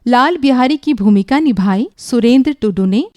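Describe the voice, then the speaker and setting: Mellow, high-voiced woman radio announcer. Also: lively intonation, normal speed, faint music behind. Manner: formal, literary diction. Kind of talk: scripted announcement.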